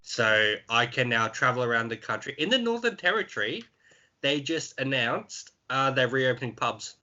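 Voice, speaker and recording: A man's voice: mid-range voice, very animated, normal speed, pushed and bright, lo-fi sound. A young man from Australia co-hosting a podcast, chatting casually in a laid-back, blunt way. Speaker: podcast co-host. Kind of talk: casual chat.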